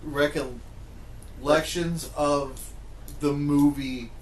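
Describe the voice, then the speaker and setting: A man's voice: on the high side, steady tone, drawn-out words. A man hosting a podcast, moderating a casual chat among friends and moving the discussion along. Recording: echoey room with background noise.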